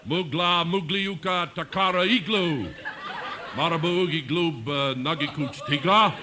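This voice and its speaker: High-voiced, gloomy prospector sidekick played by a man. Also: a little slow, natural voice. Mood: eager. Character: gloomy, whiny gold prospecting sidekick in the Yukon. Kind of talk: 1950s radio comedy acting. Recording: noisy old radio broadcast.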